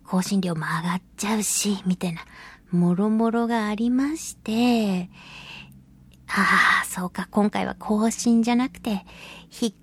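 A woman's voice: medium pitch, a little slow, a little hiss or hum. A woman hosting a casual solo radio talk, chatting in a playful manner. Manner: playful, chatty, laughs often. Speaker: radio show host and voice actress.

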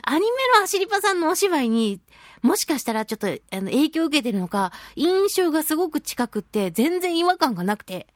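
A woman's voice: sits high, brisk, no room sound, studio quality. A woman hosting a radio show, chatting casually and expressively in a solo talk. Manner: animated, expressive, acts out reactions and quotes. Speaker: radio show host, voice actress. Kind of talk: casual monologue talk, recounting a film outing.

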